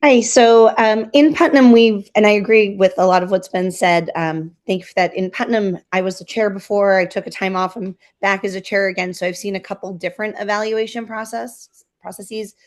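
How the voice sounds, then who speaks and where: A woman's voice: medium pitch, steady tone, normal speed, few pauses. An American woman speaking as a board chair, giving an informal meeting update in an agreeable, conversational manner.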